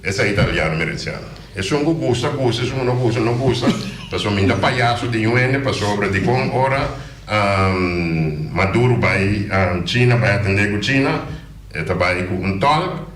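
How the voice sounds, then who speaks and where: A man's voice: on the low side, expressive, normal speed, reverberant, noisy background. A man speaking as a politician guest on a radio show, passionate and emphatic, often repeating his words. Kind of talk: radio interview, casual talk. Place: radio studio.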